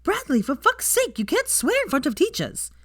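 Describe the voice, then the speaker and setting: A woman voicing gossipy, excitable school pupils in an audiobook-style story, snappish and badgering. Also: very high-pitched, moderate pace, no room sound, studio quality, pitched above the performer's own voice, exaggerated voice. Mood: scandalized. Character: gossipy, nosy schoolgirl students, shrill and excitable.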